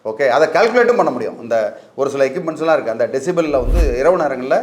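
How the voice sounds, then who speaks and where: A man's voice: fairly high pitch, expressive, quick pace. A man with a Tamil Nadu accent giving informal legal advice as an expert, emphatic and colloquial, mixing in English words. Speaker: legal expert guest answering questions. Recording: echoey, reverberant room.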